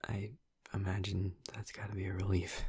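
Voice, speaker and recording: Husky, low-voiced gentle vampire boyfriend, played by a man. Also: level tone, dry recording, studio quality, heavily acted. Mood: caring, attentive. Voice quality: husky, breathy, creaky. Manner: soft, halting, breathy, tentative. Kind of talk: intimate audio roleplay, speaking softly to the listener. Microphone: close, dry microphone.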